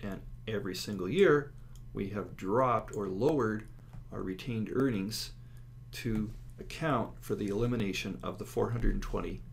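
Low-voiced male instructor, noisy recording. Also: moderate pace. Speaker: accounting instructor. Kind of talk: lecture explaining slides step by step. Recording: clear steady background noise.

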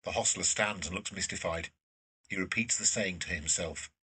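A man's voice: low-pitched, moderate pace, bright, forceful tone, slightly rough, recorded from a distance, poor audio. A man narrating an audio drama from a script.

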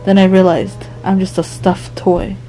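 A woman's voice: fairly low pitch, flat delivery, measured pace, natural voice, poor audio. Woman playing a playful storytelling plush toy over music. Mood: playfully spooky. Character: friendly, playful plush toy telling spooky stories. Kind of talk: playful puppet-play storytelling for children.

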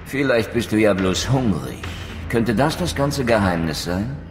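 A man's voice: on the low side, expressive, a little slow, over music. A man playing a brooding, proud undead wraith in a video game, speaking eloquently and theatrically with sardonic bitterness. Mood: sardonic, accusing. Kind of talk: dramatic game dialogue and scripted narration.

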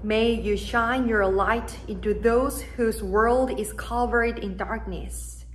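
A young woman, a native Korean speaker, leading prayer as an associate pastor in a church sanctuary, speaking slowly and solemnly from a pulpit. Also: a little high, steady tone, slight background noise. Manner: slow, solemn and measured.

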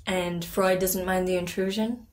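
An actress playing a composed, reassuring therapist, speaking formally and with gentle, measured questioning in an audio drama. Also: female voice, lowish voice, steady tone, natural voice. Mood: calm, probing.

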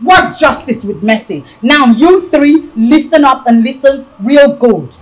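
Shrill, haughty Nigerian princess. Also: female voice, animated, measured pace, slight background noise, rough recording. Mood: commanding, enraged. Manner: imperious, scolding, contemptuous. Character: haughty, spiteful young princess, screaming insults. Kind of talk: screen drama acting.

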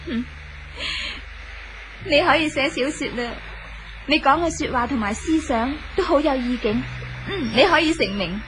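An actress playing a gentle, hopeful young woman in love in a 1970s radio drama, reassuring, with a Hong Kong Cantonese accent. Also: high voice, lively intonation, normal speed, long pauses, slight background noise, rough recording. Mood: loving, admiring. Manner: soft-hearted, reassuring.

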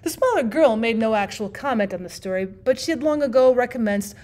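A woman with a slightly high voice narrates an audiobook. She reads from a script as a teacher would when reading aloud to a class. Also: animated, a little fast, darkish tone.

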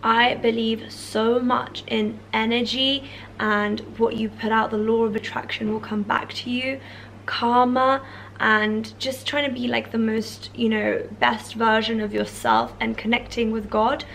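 English girl in her 20s w a cold, husky and a bit gravelly, chatty with lots of likes and ums, slightly high voice. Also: level tone, a little slow, a bit bright, a little hiss or hum. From England. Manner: chatty, many fillers like "like" and "um". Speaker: YouTuber answering viewer questions. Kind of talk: casual Q&A chat. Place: bedroom or living room, indoors. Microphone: camera in front, seated close.

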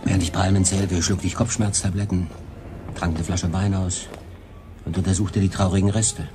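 A very low male voice of an actor plays a weary castaway sailor in a radio drama. He broods as he recounts his ordeal, with music underneath. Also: little pitch movement, normal speed, lightly acted. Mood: grim recollection. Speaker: narrator and main character. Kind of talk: German radio drama monologue narration.

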